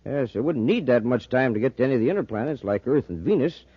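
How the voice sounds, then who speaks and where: A man's voice: medium pitch, animated, fast, husky, no room sound. A man playing an eager, unassuming amateur math whiz, with an American accent, in a 1950s radio serial. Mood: eager, modest. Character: eager, folksy male amateur with uncanny math knack. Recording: dull 1950s radio recording.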